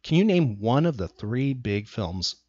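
A man's voice: medium pitch, very animated, unhurried, dark tone, dry recording. An American man hosting a casual trivia chat, asking questions and explaining facts in short phrases. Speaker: podcast host and trivia quizmaster. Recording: slightly dull, lo-fi sound.

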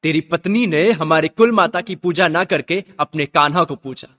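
A man's voice: fairly high pitch, normal speed, natural voice. A man playing a stern, domineering royal father-in-law, accusing and angry, in a radio drama. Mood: furious. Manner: forceful, accusatory. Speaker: actor in a student radio drama. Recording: long hall-like reverb.

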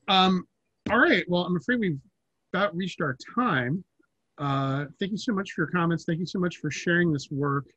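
Older-ish guy in his 50s moderating, voice a bit high, dry slightly muffled video call. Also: expressive, sharp tone, husky, no echo. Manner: hesitant, pausing between phrases. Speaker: panel moderator. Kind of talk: informal moderating. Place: indoors at home, video call. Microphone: computer mic on video call.

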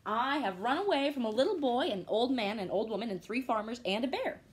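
A woman's voice: a little high, animated, a little fast, natural voice. A woman voicing a cheeky, boastful little gingerbread man from a storybook, chanting in a sing-song way. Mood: gleeful, boastful.

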